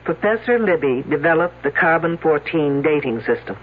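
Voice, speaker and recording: A man's voice: on the high side, expressive, moderate pace, breathy edge. An actor playing a dry, sarcastic male detective on a radio drama. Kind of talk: 1970s radio drama acting. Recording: tinny old radio broadcast.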